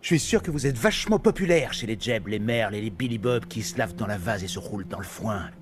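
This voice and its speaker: A man's voice: on the low side, expressive, fast talker, a little hiss or hum, close to an everyday voice. A man voicing an arrogant, theatrical mad-genius doctor in a film dub, sneering and menacing with pompous, mocking speech. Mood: scornful. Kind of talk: French film fan dub acting.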